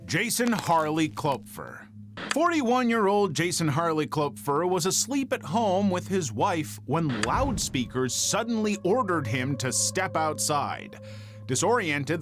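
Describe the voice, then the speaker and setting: A man reading scripted true-crime narration in a measured, dramatic storytelling manner. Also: fairly high pitch, fairly bright tone.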